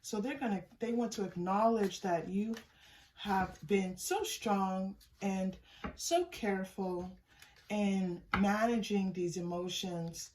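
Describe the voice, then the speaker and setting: Woman tarot reader, soft and musing, mid-pitched, a bit distant from the mic. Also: a little hiss or hum. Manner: unhurried, musing, often trails off and restarts; soft-spoken, gives thanks to unseen guides. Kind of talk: pick-a-card tarot reading.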